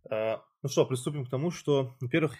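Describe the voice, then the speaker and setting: A man's voice: medium pitch, fairly quick, dark tone, husky. A man hosting a casual chat, explaining at length with loose phrasing and fillers. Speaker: podcast host.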